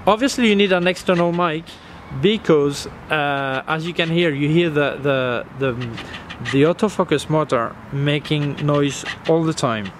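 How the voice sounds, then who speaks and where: A man's voice: on the high side, unhurried. A man in his forties with a non-native English accent, reviewing cameras in a casual vlog, hesitant with many fillers and repeated words, recorded outdoors in a city plaza. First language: not English. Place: outdoor urban plaza on a bench. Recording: faint outdoor street noise. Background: faint street ambience.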